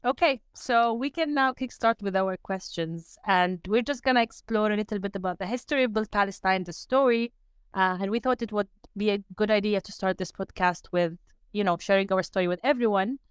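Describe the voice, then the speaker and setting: A woman's voice: medium pitch, fairly quick, smooth, dry recording, clean studio sound. A woman speaking as a podcast host, introducing questions in a conversational interviewing style.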